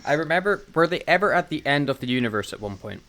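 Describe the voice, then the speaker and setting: A man's voice: fairly high pitch, lively intonation, quick pace, background noise. A man co-hosting a podcast in casual, joking review chat, with a self-deprecating manner. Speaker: podcast co-host.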